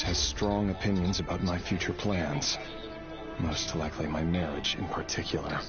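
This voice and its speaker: An actor voicing a weary, earnest young man with a secret grim trade, speaking in a measured way for a video game. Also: deep voice, lightly acted. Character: weary young man, secret royal executioner, tender but brooding. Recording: dull game audio under loud music.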